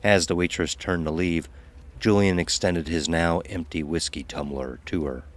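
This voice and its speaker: A man narrating a scripted dark audio story. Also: low-pitched, fairly even intonation, normal speed.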